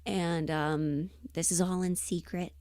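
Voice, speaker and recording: A woman chatting casually and emphatically on a livestream, rambling in an animated, opinionated way. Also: fairly low pitch, slow, dry recording, studio quality. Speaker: livestream host and voice performer.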